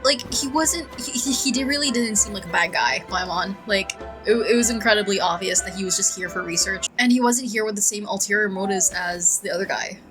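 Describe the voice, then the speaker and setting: A woman's voice: medium pitch, brisk, hardly any pauses. Casual woman gamer with music behind. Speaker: gaming content creator. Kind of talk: casual game playthrough commentary, reading choices aloud.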